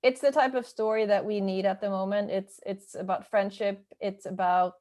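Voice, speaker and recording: A woman's voice: mid-range voice, fairly even intonation, normal speed, darkish tone. A woman whose first language is Swedish, a self-published author speaking casually on a webinar over a video call, correcting herself as she goes.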